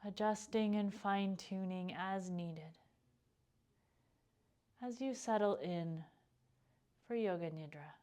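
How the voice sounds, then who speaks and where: Calm lady doing a yoga nidra meditation, really smooth clear voice, gentle and takes her time. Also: mid-range voice. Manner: calm, gentle, unhurried with pauses. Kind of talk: guided meditation instruction.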